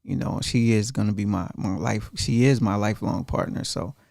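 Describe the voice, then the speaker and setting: A soft-spoken man in his forties answering interview questions in a studio, hesitant, with many ums. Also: fairly low pitch, normal speed, creaky, dry recording. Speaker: guest entrepreneur. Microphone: studio mic.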